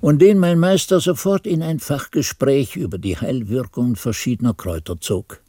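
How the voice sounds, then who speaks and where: A man's voice: medium pitch, moderate pace, mellow, husky, no echo, clean studio sound, close to an everyday voice. An actor playing an old monk narrating memories in a radio drama, musing and troubled as he searches his memory. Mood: reminiscing.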